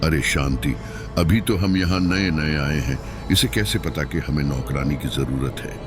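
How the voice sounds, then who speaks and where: A man's voice: extremely low pitch, measured pace. A man voicing a skeptical young husband in a cartoon, dismissive and exasperated with his wife. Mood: doubtful. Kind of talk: cartoon voice acting. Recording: loud background music.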